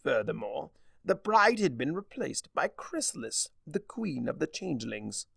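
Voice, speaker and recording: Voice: mid-range voice, very animated, unhurried, creaky, breathy edge, dry recording, clean studio sound, full character voice. A man voicing a smug, theatrical trickster spirit who lectures in a drawling, mocking way. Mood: mocking, lecturing. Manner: drawling, mocking, grandly explanatory.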